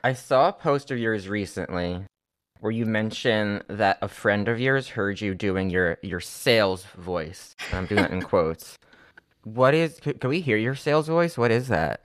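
A man hosting a casual podcast interview, playful, with quick bursts of energy. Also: fairly low pitch, expressive, smooth, dry recording, clean studio sound. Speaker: podcast host.

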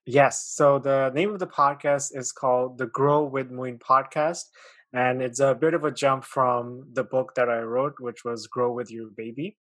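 Podcast guest, man, late 20s, grew up in Pakistan; friendly, halting, dry sound. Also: medium pitch, steady tone, fairly bright tone, dry recording. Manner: friendly, hesitant, many fillers and restarts. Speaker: guest, author and podcast host. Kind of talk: casual interview chat.

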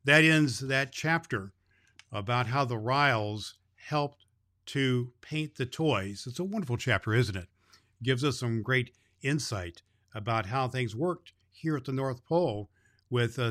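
A man's voice: mid-range voice, unhurried, a bit bright, natural voice. A man playing a kindly old Santa Claus who hosts a children's Christmas show, speaking cheerfully and emphatically in a folksy, fatherly way. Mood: gentle, storytelling. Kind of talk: storytelling and chat addressed to children.

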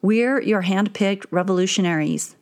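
A woman's voice: medium pitch, moderate pace, a little mellow, natural voice. A woman voicing an eager, hotheaded male disciple who talks in a pushy, insistent way.

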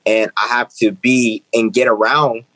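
An earnest young man in his twenties talks through his ideas in an interview. He often restarts and repeats phrases as he builds toward a point. His voice is close and dry, with a middling pitch. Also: flat delivery, measured pace, bright, forceful tone, no echo, low-quality recording. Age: 20s. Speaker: guest, author and speaker. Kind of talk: interview conversation.

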